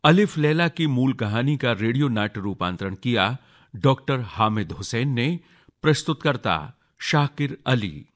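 A man's voice: mid-range voice, lively intonation, a little slow. Radio drama announcer: male, mid-range voice, formal scripted delivery.

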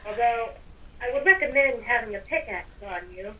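A woman's voice: a little high, animated, moderate pace, bright, forceful tone, recorded from a distance, poor audio. A woman playing a helpful guide with formal, quaint speech in an improvised game roleplay. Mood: instructive.

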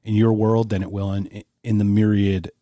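A man's voice: lowish voice, little pitch movement, normal speed, mellow, no echo. A man hosting a podcast, chatting casually and telling stories in a playful, joking way. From Philadelphia area. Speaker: podcast host.

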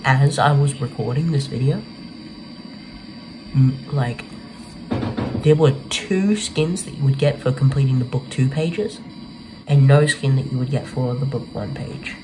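A man's voice: on the high side, rough recording. A young man giving casual game walkthrough commentary as the host of a gaming video. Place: small bedroom, indoors. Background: game music.

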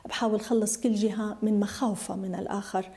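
Fast-talking Syrian woman, mellow voice. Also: medium pitch, flat delivery. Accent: Syrian Arabic. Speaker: journalist. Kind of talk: documentary interview narration.

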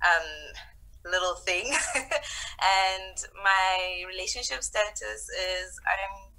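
Bright-voiced young woman, a bit flustered. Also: mid-range voice, steady tone, poor audio. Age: 20s. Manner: quick, laughing, slightly flustered. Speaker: guest. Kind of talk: casual video-call introduction. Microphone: laptop or phone on video call.

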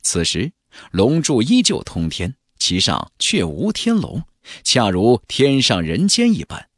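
A man narrating a fantasy audiobook in a measured, theatrical storytelling delivery. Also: medium pitch, wildly swooping pitch, no echo, clean studio sound. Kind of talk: dramatic scripted narration of a fantasy novel.

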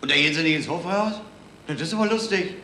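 A man's voice: on the high side, lively intonation, racing, pushed and bright. An actor playing a complaining, quarrelsome male tourist in a radio crime play. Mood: chatty, sceptical. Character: indignant male tourist whose bus vanished, bickering. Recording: echoey, noisy 1970s radio recording.

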